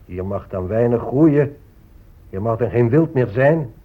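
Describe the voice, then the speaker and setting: An actor playing a greedy, impatient settler negotiator with a husky voice and an insistent manner in a radio drama. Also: male voice, medium pitch, expressive, measured pace. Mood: insistent, persuasive. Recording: muffled, narrow-band old radio recording.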